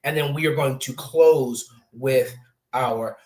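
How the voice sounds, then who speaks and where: A man's voice: mid-range voice, takes it slowly, very noisy. A man in his late twenties hosting a casual sports talk show, rambling with lots of fillers. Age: 20s. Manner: chatty, rambling, many fillers. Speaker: podcast host.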